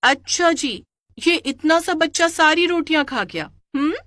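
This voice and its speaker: Loving, teasing village wife for a cartoon tale, played by a woman; animated delivery, playful. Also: sits high, measured pace, no echo, studio quality, voice pushed up. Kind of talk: cartoon story character voice.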